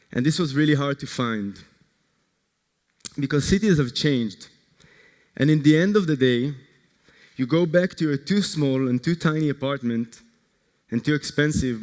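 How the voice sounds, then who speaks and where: A man from Israel, a startup co-founder, giving an earnest, energetic conference pitch that puts direct questions to the audience. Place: large conference hall. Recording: long hall reverb.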